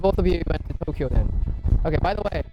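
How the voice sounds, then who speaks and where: A man's voice: fairly high pitch, lively intonation, quick pace, over loud music. A man from Japan working as a street interviewer, asking short, friendly questions in casual talk. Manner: brief friendly prompts. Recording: muffled outdoor street recording.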